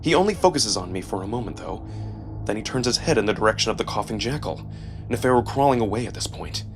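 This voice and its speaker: A man reading a first-person story as dramatic, expressive scripted narration with a sense of suspense. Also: fairly low pitch, fast, music in the background. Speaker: narrator and performer.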